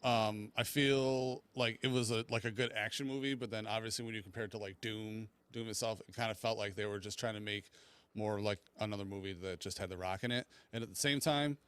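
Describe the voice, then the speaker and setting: A man's voice: lowish voice, steady tone, brisk, fairly bright tone, clear, resonant tone. A man hosting a casual discussion, moderating in a hesitant, rambling, unscripted way. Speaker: podcast host.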